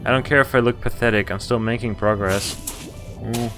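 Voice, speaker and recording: Male game streamer, dramatic read-aloud, incredulous asides, medium pitch, game music in the background. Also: fairly even intonation, brisk. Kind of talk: reading a visual novel aloud with spontaneous reactions.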